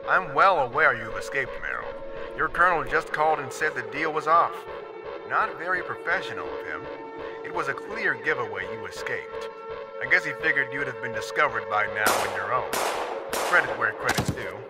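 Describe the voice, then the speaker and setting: An actor playing a smug, menacing military villain in a radio drama, coolly condescending and commanding. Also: male voice, medium pitch, expressive, moderate pace, over loud music, natural voice, poor audio. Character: smug, menacing male villain leading armed men.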